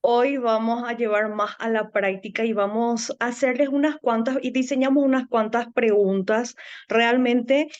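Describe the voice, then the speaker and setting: A woman's voice: fairly high pitch, steady tone, moderate pace, lo-fi sound. A woman hosting a casual talk show, chatty and laughing easily as she welcomes her guests. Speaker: show host.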